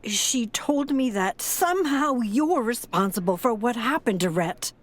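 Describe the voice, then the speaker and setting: Old grandma in a radio play, sad and halting, slow talker, highish voice. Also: female voice, expressive. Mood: sorrowful. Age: older. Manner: halting, sighing, sorrowful. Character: frail, grieving, tough old grandmother and former foster mother. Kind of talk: audio drama acting.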